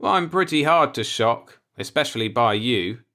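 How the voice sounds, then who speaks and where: A man acts out a righteous, law-abiding fellow from a sci-fi story. He is earnest and insistent, easily alarmed. His pitch moves around in a very animated way. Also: unhurried. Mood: wry. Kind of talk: audiobook character voice in a sci-fi story.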